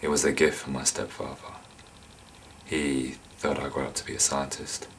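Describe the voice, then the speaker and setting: An actor playing an insane, menacing killer and resentful loner, confessing in a volatile dramatic monologue. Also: male voice, a bass voice, flat delivery, normal speed, creaky, a little hiss or hum, exaggerated voice. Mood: calm, menacing reminiscence. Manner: confessional, volatile, swinging from hushed to explosive.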